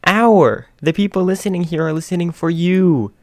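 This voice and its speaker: A man putting on a booming, teasing radio host. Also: moderate pace, no room sound, studio quality. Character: loud excitable male radio host.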